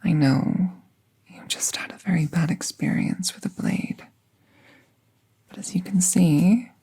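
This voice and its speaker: A woman acts out a kindly magical vet in a soothing ASMR roleplay. She speaks in a very breathy, half-whispered voice, slowly and carefully, reassuring her patient. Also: fairly low pitch, mellow, full character voice. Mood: calm, reassuring.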